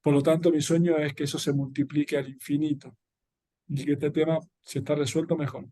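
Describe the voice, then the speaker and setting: A man with an Argentine Spanish accent and a fairly high, soft-edged, mellow voice. He talks as an interview guest, explaining things in an earnest, reflective way. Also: little pitch movement, fairly quick. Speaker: interview guest, social entrepreneur and educator.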